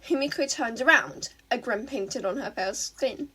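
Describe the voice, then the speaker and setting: High, breathy woman narrator. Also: normal speed, pushed and bright. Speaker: fan fiction reader, narrator. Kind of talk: scripted story narration.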